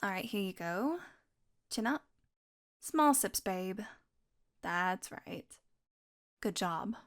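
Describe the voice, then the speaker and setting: A woman's voice: medium pitch, lively intonation, takes it slowly. A woman acting a playful, sardonic, curious young vampire in an intimate audio roleplay. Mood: coaxing, teasing. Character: teasing, curious young female vampire doing experiments.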